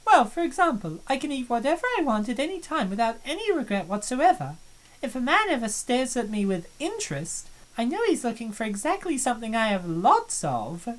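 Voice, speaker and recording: A woman's voice: on the high side, big pitch swings, fairly quick, poor audio. A woman voicing a confident, cheerful young woman in a fan dub of a visual novel, with a self-assured, breezy delivery.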